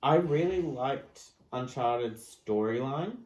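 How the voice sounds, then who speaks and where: A man's voice: medium pitch, expressive, slow pace, a little mellow. A man co-hosting a podcast, giving his opinions in casual discussion. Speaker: podcast co-host. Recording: echoey, roomy sound.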